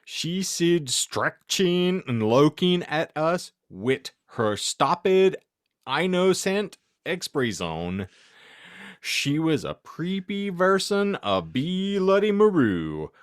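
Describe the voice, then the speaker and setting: A man's voice: a little high, dry recording, clean studio sound. A man hosting a podcast, reading fan fiction theatrically for comedy and exaggerating oddly spelled words. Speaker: podcast host and narrator.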